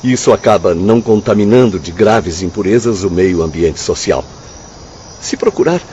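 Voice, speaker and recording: Devout spirit missionary, low voice, expressive melody, solemn and formal, radio drama, slightly dull sound. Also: male voice, slight background noise. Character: earnest, devout male spirit missionary, learned and compassionate.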